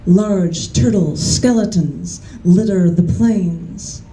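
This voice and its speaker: A woman poet giving a live reading in a bookstore, reading in a measured, deliberate way with chatty, laughing asides between poems. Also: fairly low pitch, warm tone. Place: large bookstore, indoors. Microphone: camera mic. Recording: echoey room from the camera.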